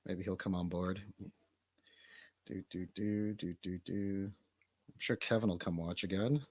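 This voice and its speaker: A man with a lowish voice hosts a casual livestream. He talks in an informal, bantering way. The sound is very dry, with no room echo. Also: steady tone, measured pace, darkish tone. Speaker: livestream host.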